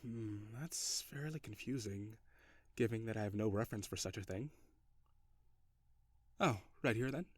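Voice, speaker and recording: Man playing a low-voiced, formal, curious alien. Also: moderate pace, pauses often, breathy edge, no echo. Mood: polite, curious. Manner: stiff, formal, precise phrasing. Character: curious, formal, emotionally reserved male alien on a spaceship. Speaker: audio roleplay performer. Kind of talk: intimate scripted audio roleplay addressed to the listener.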